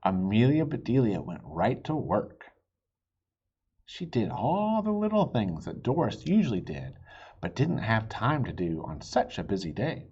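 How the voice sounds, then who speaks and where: A man reads a children's story aloud with very animated, swooping intonation. His voice is husky and expressive, and he drops in little asides. The recording is slightly dull. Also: lowish voice, a little slow. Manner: expressive, with spoken asides and stumbles. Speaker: children's book reader. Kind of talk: animated read-aloud narration.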